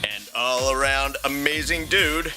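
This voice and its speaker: A man's voice: mid-range voice, a little slow. A man co-hosting a podcast with playful, partly scripted banter. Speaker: podcast co-host. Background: music.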